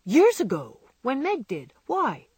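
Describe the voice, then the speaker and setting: A woman voicing an impulsive, warm-hearted teenage girl who speaks earnestly and emphatically. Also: high-pitched, wildly swooping pitch, slow, dry recording, studio quality, pitched above the performer's own voice, heavily acted. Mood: anxious.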